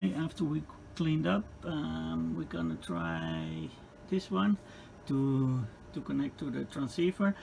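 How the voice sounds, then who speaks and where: Gravelly Dutch-accented man demonstrating equipment. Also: fairly high pitch, sing-song, a little mellow. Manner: halting, hesitant phrasing with repeated words. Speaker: hobbyist demonstrator. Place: indoor electronics workbench. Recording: clearly noisy room recording.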